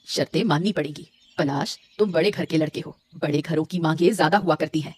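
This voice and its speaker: A woman's voice: deep voice, fairly quick, slightly rough. A woman voicing a proud, controlling middle-aged mother of a rich household in a cartoon story, doting yet firm. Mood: firm, coaxing. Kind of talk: Hindi cartoon story dialogue.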